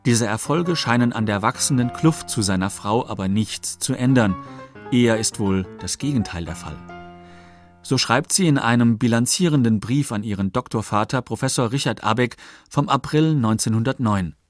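A man reading scripted documentary narration in a factual, measured manner. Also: medium pitch, expressive, faint music behind.

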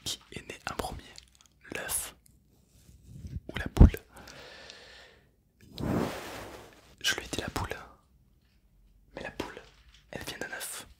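A young man plays a calm, chatty zombie in a breathy, close-miked ASMR whisper. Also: close to an everyday voice. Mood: confiding, reassuring. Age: 20s. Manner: slow, hushed, rambling, playful. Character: calm, chatty, self-deprecating male zombie, mock-menacing. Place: indoor studio setup. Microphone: close stereo microphones either side of the head.

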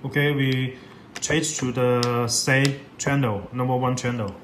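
A man giving a step-by-step software tutorial in halting, repetitive English. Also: medium pitch, steady tone. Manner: halting, repetitive non-native English phrasing. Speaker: product demonstrator. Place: indoors at a laptop on a desk. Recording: echoey room with clear background noise.

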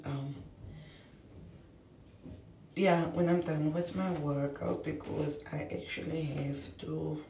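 A woman's voice: sits low, vocal fry, warm tone, roomy sound, recorded from a distance, slight background noise. A woman, chatting in a rambling way with many fillers to a camera in her small apartment. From South Africa. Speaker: vlogger. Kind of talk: casual vlog talk to camera. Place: small basement apartment, indoors. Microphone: camera on a table at close range.

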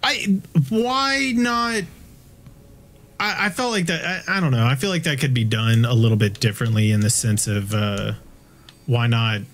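A man in his thirties chatting casually while playing a video game, swearing freely and often restarting his sentences in a rambling, halting way. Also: medium pitch, a bit bright. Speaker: video game player and co-host. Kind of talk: casual gaming chat.

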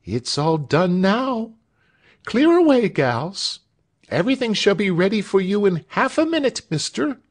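A man's voice: sits high, big pitch swings, measured pace, voice pushed up, full character voice. A man voicing a cheerful, clumsy maidservant of about thirty in a storybook, with homely, bustling speech. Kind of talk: storybook character voice.

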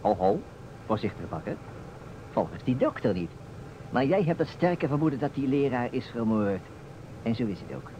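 A man's voice: mid-range voice, sing-song, moderate pace, long pauses, warm tone. An actor playing a playful, insistent man who stages a detective puzzle in a radio play, with a teasing, emphatic manner. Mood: playfully insistent. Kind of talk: Dutch radio play acting. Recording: dull, noisy old radio recording.

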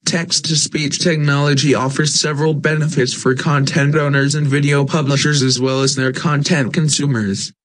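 A man's voice: a little high, little pitch movement, moderate pace, hardly any pauses, low-quality recording. A synthetic text-to-speech man reading scripted narration.

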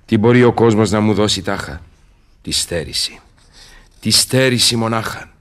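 An actor playing a weary, bitter, commanding old scholar in radio theatre, speaking verse in a grave, declamatory way. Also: male voice, lowish voice, lightly acted. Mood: bitter, world-weary.

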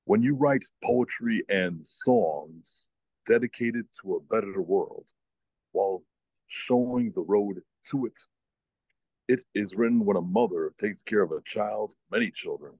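A man's voice: lowish voice, unhurried, darkish tone, breathy edge, no echo, low-quality recording. A man in his forties reading poetry into a phone held at arm's length on a video call. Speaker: poet.

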